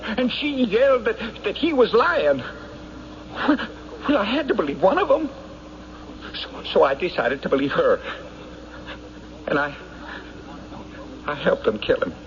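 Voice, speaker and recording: Weaselly desperate crook, highish halting voice, old muffled radio drama. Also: male voice, very animated, exaggerated voice. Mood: nervous confessing. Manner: pleading, halting, evasive. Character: desperate, weaselly small-time crook confessing under pressure. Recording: noisy, muffled vintage radio broadcast.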